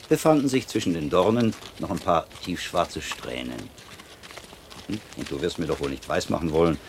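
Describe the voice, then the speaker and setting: A man's voice: low-pitched, darkish tone. An actor playing a shrewd, learned monk in a radio drama, lecturing his pupil with wry, teasing self-assurance. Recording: clear ambient noise behind voice.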